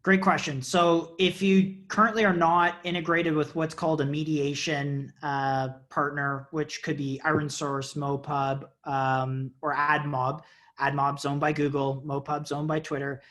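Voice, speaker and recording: A man's voice: on the high side, flat delivery, measured pace, a bit bright. A man in his thirties, answering community questions on a video call in an enthusiastic, explanatory way, with frequent uhs. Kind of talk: casual video call interview. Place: indoor office with banner. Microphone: earphone headset on video call.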